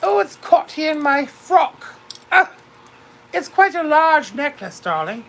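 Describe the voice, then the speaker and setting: A grand British duchess in her fifties, put on by a woman, lords it over her livestream viewers. She talks theatrically and calls everyone darling. Her voice is bright and gravelly and sits fairly high. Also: very animated, slow pace, a little hiss or hum, heavily acted. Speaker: livestream host. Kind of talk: in-character livestream chat.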